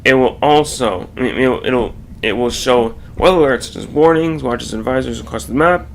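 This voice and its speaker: A man narrating a scripted slide presentation in a halting way, often stumbling and correcting himself. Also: mid-range voice, creaky, a little hiss or hum, rough recording.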